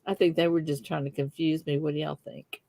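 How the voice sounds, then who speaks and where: A woman's voice: deep voice, flat delivery, fairly quick, vocal fry, dark tone, no echo. A middle-aged woman with a Southern Texas accent, hosting a live stream and chatting with viewers in a giggly, easygoing way. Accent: Texan Southern. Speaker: host. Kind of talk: live-stream casual chat.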